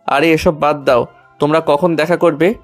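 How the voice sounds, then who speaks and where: A man's voice: a little high, steady tone, moderate pace, faint music behind, close to an everyday voice. A man playing a lovestruck, evasive younger brother, an eager young man in an audio story. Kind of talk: Bengali audio story acting.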